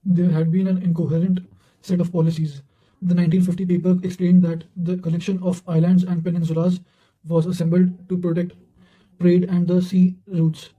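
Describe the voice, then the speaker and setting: Very mellow, high-pitched man reading aloud. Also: completely flat delivery, muffled recording. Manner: halting, often stumbles and repeats words. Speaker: narrator reading revision notes. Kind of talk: reading quotations aloud for study revision.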